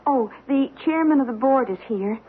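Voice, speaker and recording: A polite, efficient secretary in a vintage radio play. Her voice is high and notably husky, deferential to her boss, over a narrow, tinny broadcast. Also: animated, normal speed. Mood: polite, businesslike. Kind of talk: old-time radio drama acting.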